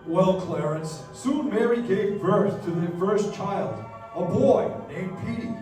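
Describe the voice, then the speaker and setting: A man playing a senior angel who patiently narrates a life story in a measured, explanatory way in a radio play. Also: sits high, big pitch swings, heavily acted. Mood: storytelling. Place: live stage in a dance hall. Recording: echoey dance hall, distant, faint music.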